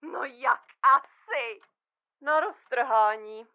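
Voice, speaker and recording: An actress playing a frazzled, blunt surgeon of about thirty in a comic audio drama, colloquial, sarcastic and exasperated. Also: female voice, high voice, narrow, tinny audio, lightly acted. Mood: frazzled, overwhelmed. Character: frazzled, blunt thirty-year-old woman surgeon split in two, bickering.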